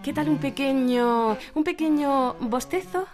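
An actress playing a deferential assistant to a director in a radio play, giving clipped, obedient, businesslike replies. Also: female voice, high-pitched, a little slow. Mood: tentative. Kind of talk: radio theatre acting.

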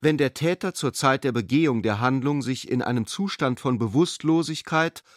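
A man's voice: mid-range voice, normal speed, no room sound, clean studio sound, close to an everyday voice. A man voicing a pedantic, self-important elderly father, a jurist, reading out a formal, long-winded letter in a radio drama. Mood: pedantic, insistent. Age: older.

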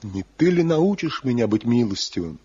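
A man's voice: medium pitch, very animated, moderate pace, dark tone, breathy edge. An actor playing a defiant, embittered craftsman and rival in a Soviet radio play, with a challenging, theatrical delivery. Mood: bitter, cold. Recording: dull, muffled 1970 radio play recording.

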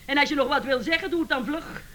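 An actress playing a mocking, long-suffering wife in a radio comedy, drawling and scornful. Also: female voice, sits high, fast, bright, forceful tone. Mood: scornful, complaining. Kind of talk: radio comedy drama acting.

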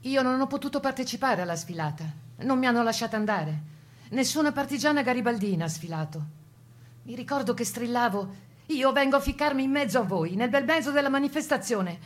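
A woman's voice: fairly high pitch, expressive, brisk. An actress voicing a resolute woman who fought as a partisan, giving earnest first-person testimony in a radio play. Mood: indignant.

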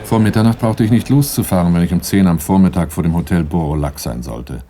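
A wary, brooding first-person narrator of a radio crime play. His voice sits very low and has a soft, dark tone. He tells things in a measured, matter-of-fact way. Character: wary handyman-driver narrating his story, calm and brooding.

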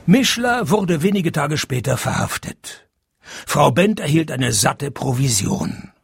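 A man playing a wry, world-weary private detective narrating his cases in a radio play, dry and deadpan. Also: a little high, slow, vocal fry, breathy edge, exaggerated voice. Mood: dry narration. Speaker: narrator and lead character. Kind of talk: German-language radio play, scripted narration.

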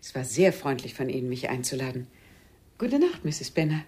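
A woman's voice: extremely low pitch, big pitch swings, moderate pace, mellow, husky, full character voice. A woman acting a polite, wistful widow and former teacher in a German radio play, speaking courteously and hesitantly.

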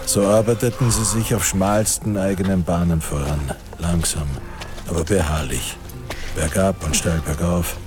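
A man's voice: sits low, steady tone, moderate pace, close to an everyday voice. A man acting as the sombre third-person narrator of a war tale in a radio play. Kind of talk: scripted radio drama narration. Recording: music under the voice. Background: music.

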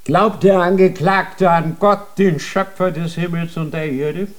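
A stern, formal inquisitor. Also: male voice, high-pitched, steady tone, measured pace. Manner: formal, cold, interrogating. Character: stern male inquisitor of a holy tribunal. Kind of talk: radio drama acting.

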